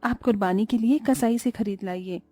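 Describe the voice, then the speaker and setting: A woman's voice: a little high, moderate pace, warm tone, no room sound, faint music behind, natural voice. An actress playing a polite, devout poor mother in a cartoon story.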